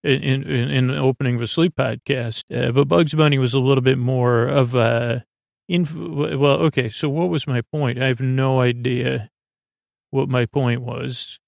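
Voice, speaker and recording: A man hosting a sleep podcast in a meandering, halting monologue that keeps drifting into digressions and false starts. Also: mid-range voice, fairly even intonation, creaky, darkish tone, dry recording, studio quality. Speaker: podcast host.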